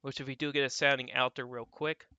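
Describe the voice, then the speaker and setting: Young man, stuffy dry throat, casual rambling forecast talk, bright crisp voice, dull dry close mic. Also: mid-range voice, little pitch movement, fast, dry recording. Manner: loose and conversational, repeats filler phrases. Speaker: weather channel host. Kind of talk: casual weather forecast commentary over model maps.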